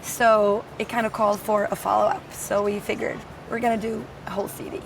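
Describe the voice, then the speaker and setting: Hoarse-voiced woman musician, gravelly. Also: mid-range voice, level tone, a little fast. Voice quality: naturally hoarse voice. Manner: friendly, laughs easily. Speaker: musician guest. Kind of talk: casual interview. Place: outdoors at a festival. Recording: distant mic, faint street noise.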